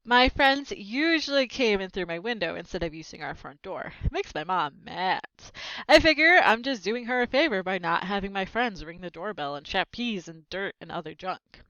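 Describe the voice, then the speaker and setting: A woman's voice: medium pitch, expressive, normal speed, fairly bright tone, no room sound, muffled recording. A woman reading a children's book aloud expressively, breaking in with casual, plain asides to explain the story. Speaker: read-aloud narrator with commentary.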